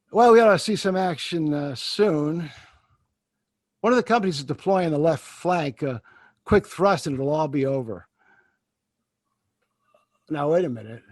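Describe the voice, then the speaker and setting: An actor playing a confident army captain giving a field report in a radio drama, in a brisk, assured way. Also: male voice, a little high, darkish tone, no echo. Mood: uneasy. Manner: brisk, assured, then hesitant.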